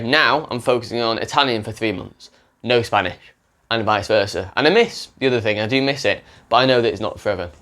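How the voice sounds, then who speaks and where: A man's voice: mid-range voice, a bit bright. A young man who tutors languages, talking unscripted to camera in a rambling way, often restarting his sentences. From English-speaking country. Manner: rambling, self-correcting, many restarts.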